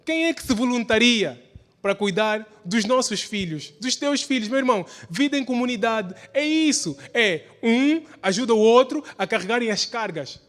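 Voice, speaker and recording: Male preacher, high-pitched voice, exhorting and repetitive sermon delivery, long hall reverb. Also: lively intonation, normal speed, fairly bright tone. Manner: exhorting, repetitive, rhetorical questions. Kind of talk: church sermon. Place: large church hall during a service.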